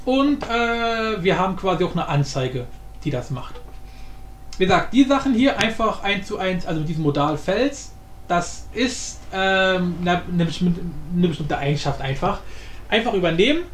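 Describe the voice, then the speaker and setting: Guy doing a casual screen tutorial, pretty high voice, thinking out loud, roomy echoey sound. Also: expressive, normal speed. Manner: informal, thinking aloud while demonstrating. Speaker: tutorial host. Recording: roomy echo with background noise.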